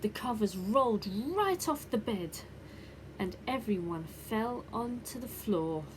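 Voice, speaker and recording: A woman reading a children's bedtime story expressively, with deliberate dramatic emphasis. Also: a little high, lively intonation. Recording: clear background noise.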